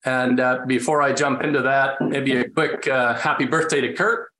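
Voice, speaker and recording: A man's voice: medium pitch, fairly even intonation, normal speed, pushed and bright. A man, an estate planning attorney, talking on a webinar in a friendly, explanatory way. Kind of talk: casual webinar talk. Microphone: video call. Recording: echoey room over a video call, faint noise.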